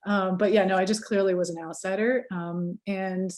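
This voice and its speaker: A woman's voice: mid-range voice, steady tone, moderate pace, darkish tone. A woman with a Californian accent, talking casually as an interview guest, chatty with lots of fillers, restarts and easy laughter. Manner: chatty, many fillers and self-corrections, laughs easily. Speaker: guest researcher.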